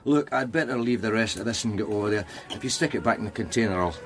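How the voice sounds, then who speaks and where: Fast-talking, low-voiced harried man, acted. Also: a bit bright, slight background noise, close to an everyday voice. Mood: hurried, wry. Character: harried policeman talking wryly at home with partner. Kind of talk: naturalistic radio drama acting.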